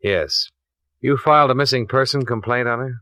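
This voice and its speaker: A man's voice: mid-range voice, moderate pace, bright, forceful tone, no echo, studio quality, natural voice. An American man playing a cool, businesslike insurance investigator in a 1950s radio drama, clipped and matter-of-fact, on an old recording. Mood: polite questioning. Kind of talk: 1950s radio drama acting, first-person narration.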